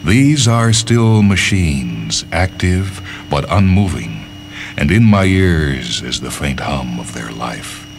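A man narrating a literary short story from a script, with measured, deliberate phrasing and dramatic pauses. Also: very deep voice, big pitch swings, slight background noise.

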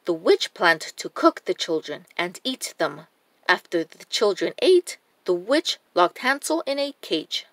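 A woman narrating a children's storybook in a deliberate, expressive reading style. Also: lowish voice, dry recording.

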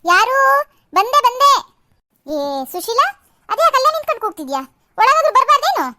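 A woman's voice: extremely high pitch, steady tone, very fast, very noisy. Woman voicing a chatty village woman. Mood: friendly. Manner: rustic village speech.

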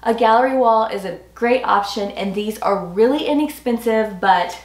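A woman in her forties with a slightly hoarse voice, chatting enthusiastically to viewers as she reviews home products. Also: fairly high pitch, normal speed. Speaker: home decor vlogger. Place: small furnished rooms at home, indoors. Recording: roomy echo.

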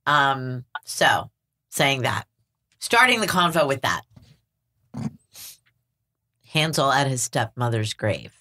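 A woman's voice: a bass voice, sing-song, slow pace, long pauses, pushed and bright. A woman hosting a literary podcast, upbeat and leading the conversation. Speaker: podcast host. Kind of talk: casual literary discussion.

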